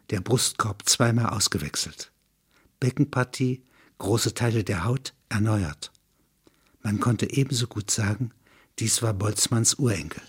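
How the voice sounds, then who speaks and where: A man's voice: medium pitch, breathy edge. A man narrating a satirical science fiction radio play as a sober chronicler.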